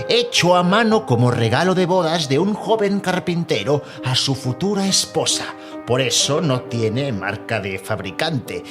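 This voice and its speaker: An eager antique dealer, played by a man, in an audio story. His voice is on the high side and very animated, with courteous, proud sales patter meant to win over a customer, over prominent music. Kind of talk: dramatised short story dialogue.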